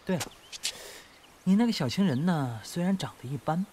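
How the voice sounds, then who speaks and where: Guy playing nasty mocking kidnapper gangster, radio drama, super expressive, swearing and taunting. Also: fairly high pitch, moderate pace, slight background noise, natural voice. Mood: mocking menace. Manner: taunting, foul-mouthed. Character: crude, menacing, mocking male gangster kidnapper. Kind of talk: audio drama acting.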